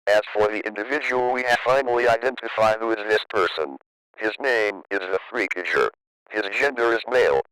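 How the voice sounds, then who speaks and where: Male announcer for emergency alert narration: formal, measured, crisp tone, dry recording. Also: mid-range voice, a bit bright, dry recording, poor audio. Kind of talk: scripted emergency broadcast narration.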